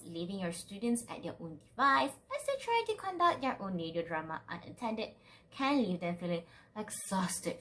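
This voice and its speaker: A young Malaysian woman reading a scripted student presentation in a formal, careful manner. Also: medium pitch.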